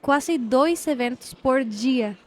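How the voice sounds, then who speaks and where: A woman with a Spanish-speaking Latin American accent talks as a podcast guest, her voice sitting high. She hesitates often, filling gaps as she searches for words in a second language. Faint noise hums behind her. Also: slow pace. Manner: hesitant, many fillers, mixes in Spanish grammar. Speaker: guest, developer relations lead. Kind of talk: casual interview chat. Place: event podcast set.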